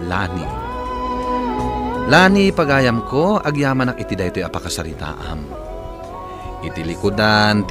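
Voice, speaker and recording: A man with an Ilocano accent hosting a radio drama program, giving sincere scripted counsel to a listener. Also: low-pitched. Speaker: radio drama host and advice giver. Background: music.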